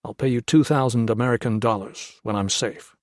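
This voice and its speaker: A man's voice: mid-range voice, steady tone, a little fast, a little mellow, no echo, studio quality, lightly acted. A man voicing a shrewd, brash soldier-trader who talks in a blunt, matter-of-fact way.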